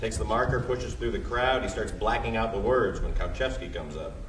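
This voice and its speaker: Guy reading stage directions, lowish voice, echoey far mic. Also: slight background noise. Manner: plain reading with halting restarts.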